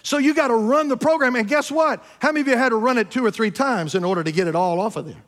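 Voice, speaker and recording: Pastor, man, 50s, high voice, emphatic preacher who questions the congregation and drops to low asides. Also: quick pace. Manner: emphatic preaching, swinging between loud peaks and low asides; addresses congregation directly with questions. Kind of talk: sermon. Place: church sanctuary.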